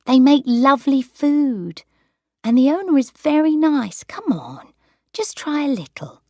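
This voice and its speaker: A patient young woman coaxes her grandmother in a high, lilting voice, as a woman puts her on. The reading is slow and dry. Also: big pitch swings, a little mellow, no room sound, full character voice. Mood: coaxing. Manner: coaxing and patient.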